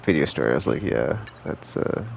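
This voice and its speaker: Male streamer, laid-back and slangy, very creaky delivery with lively pitch movement, rambling game commentary. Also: fairly quick, a little hiss or hum. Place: indoors, live stream with game music behind.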